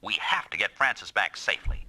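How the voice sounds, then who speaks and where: A man's voice: on the low side, very animated, brisk, bright, forceful tone, no room sound, exaggerated voice, rough recording. A man voicing a stern, commanding squad boss in an anime-style video game dub, curt and authoritative.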